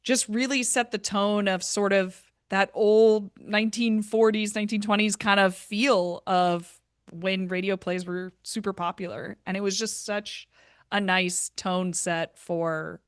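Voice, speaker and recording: Female guest, smooth clear voice, appreciative tone, dry close recording. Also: medium pitch, steady tone, measured pace, dry recording. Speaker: theatre creator guest. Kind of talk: casual interview chat.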